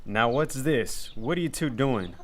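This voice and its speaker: A man's voice: mid-range voice, animated, measured pace, a little hiss or hum, natural voice. Man playing a bullying western troublemaker. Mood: scornful, angry. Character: bullying, hot-tempered male western troublemaker. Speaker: actor in a radio theater. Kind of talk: western radio drama acting.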